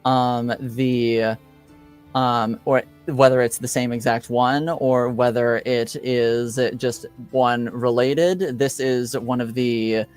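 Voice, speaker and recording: A man acting as game master, improvising the narration of a tabletop roleplaying game in halting, hesitant fragments. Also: mid-range voice, fairly even intonation, darkish tone, clean tone, soft background music. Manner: halting, hesitant, piecing scenes together in fragments.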